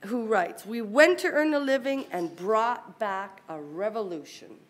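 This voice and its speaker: A woman academic presenter reads a formal conference paper aloud in a measured, scholarly way, with brief explanatory asides. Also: medium pitch, animated.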